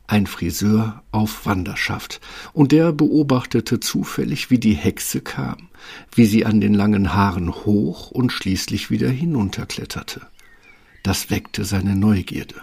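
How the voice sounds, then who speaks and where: A man's voice: lowish voice, unhurried, gravelly, darkish tone, husky. A man narrating a children's fairy tale as a storybook narrator, with a wry, tongue-in-cheek touch. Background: music.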